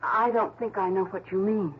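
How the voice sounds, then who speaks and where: A woman's voice: medium pitch, moderate pace, husky, close to an everyday voice. An actress playing a blunt, slangy widow who does her own repairs, in a 1960s radio drama. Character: brash widow, handy and mercenary. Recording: dull old radio broadcast.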